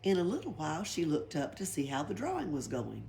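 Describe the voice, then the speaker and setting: A woman's voice: low-pitched, wildly swooping pitch, brisk, creaky, warm tone. A woman reading a children's storybook aloud expressively. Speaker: library read-aloud reader. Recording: background noise, library read-aloud.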